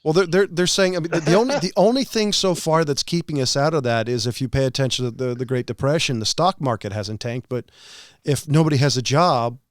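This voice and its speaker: A man's voice: a little high, fairly quick, no room sound, clean studio sound. Earnest, argumentative podcast man. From California. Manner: argumentative, earnest, poses questions. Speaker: podcast co-host. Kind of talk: casual chat.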